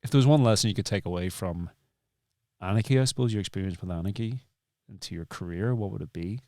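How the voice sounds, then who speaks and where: A man's voice: on the low side, fast talker, warm tone, no room sound, studio quality. A man hosting an interview in reflective conversation, rephrasing and repeating his points for emphasis. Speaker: podcast host and interviewer.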